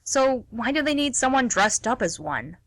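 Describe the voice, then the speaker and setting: A woman playing a dry, teasing friend who needles deadpan in a radio comedy play. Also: on the high side, normal speed, no room sound. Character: dry, teasing, unimpressed female friend at bar.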